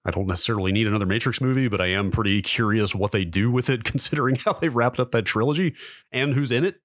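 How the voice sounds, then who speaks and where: A man's voice: mid-range voice, quick pace, dry recording, studio quality. A middle-aged American man in casual podcast conversation, hedging and dropping wry asides as co-host. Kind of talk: casual tech nostalgia chat.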